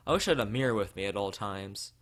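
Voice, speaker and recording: A man's voice: fairly low pitch, lively intonation, fast talker, a bit bright. A man from the United States, a let's play streamer, reading a visual novel aloud.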